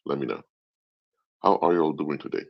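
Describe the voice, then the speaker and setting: Very deep gravelly man. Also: lively intonation, brisk. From Curaçao, raised in the Netherlands. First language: Papiamento and Dutch. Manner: explanatory, repeats and restarts phrases. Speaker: live stream host, anthropologist. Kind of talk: casual live stream monologue. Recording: dull lo-fi stream audio.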